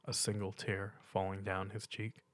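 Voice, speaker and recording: A man's voice: low-pitched, little pitch movement, moderate pace, fairly bright tone, no room sound. A man reading a piece of fan fiction aloud as dramatic story narration.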